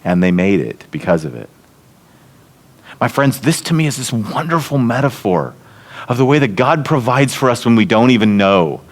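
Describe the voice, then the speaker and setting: Male preacher, mid-range voice, animated storyteller who builds to emphatic points. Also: mid-range voice, moderate pace. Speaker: seminary leader speaking at church event. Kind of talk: storytelling sermon talk. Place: church meeting hall.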